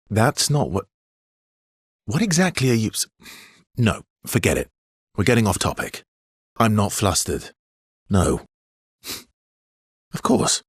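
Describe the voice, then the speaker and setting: A man voicing a wry, guarded adventurer with a soft heart in a video game, gravelly and halting, with a teasing way of talking. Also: lowish voice, no room sound, studio quality, heavily acted. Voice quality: husky, gravelly. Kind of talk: naturalistic game dialogue acting.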